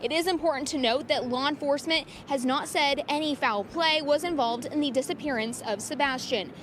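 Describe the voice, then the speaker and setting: High-voiced woman news reporter. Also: brisk, slight background noise. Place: outdoors outside a courthouse.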